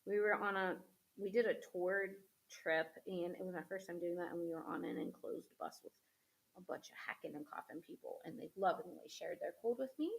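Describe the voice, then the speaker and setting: A woman's voice: lowish voice, brisk, gravelly, poor audio. A woman hosting a crafting demo, chatting casually to viewers as she paints, a little sniffly from a cold. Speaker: craft host.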